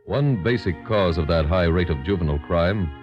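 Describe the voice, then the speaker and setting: A man's voice: low-pitched, lively intonation, normal speed. A man with an American accent reading formal, scripted narration for a mid-century radio program. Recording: dull old radio recording. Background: music.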